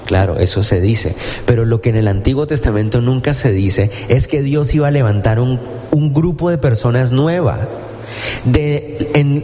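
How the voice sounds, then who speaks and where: A man's voice: mid-range voice, warm tone, a little hiss or hum. A man preaching a sermon in a church, talking conversationally and asking his listeners questions. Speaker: pastor.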